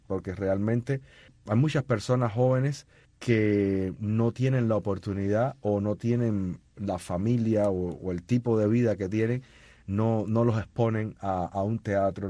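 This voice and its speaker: Low, mellow-voiced man telling stories. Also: dry recording. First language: not Spanish? no. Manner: storytelling with many restarts and fillers. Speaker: musician guest. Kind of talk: conversational interview.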